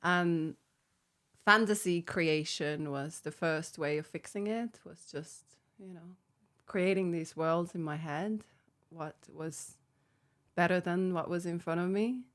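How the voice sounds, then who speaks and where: Finnish-accented woman, low and candid. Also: takes it slowly. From Finland. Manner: reflective, frank, laughs often between phrases. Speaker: podcast guest. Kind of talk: candid personal recovery story.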